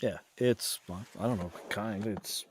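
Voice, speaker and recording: A man's voice: fairly low pitch, measured pace, husky. A man chatting casually as a podcast guest, telling anecdotes and acting out the remarks he quotes.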